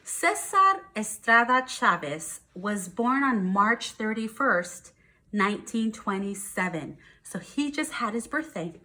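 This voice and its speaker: A woman's voice: on the high side, big pitch swings, slow, pushed and bright. A woman teaching first graders, reading aloud and explaining things in simple words with emphasis on key words. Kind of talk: read-aloud lesson for young children.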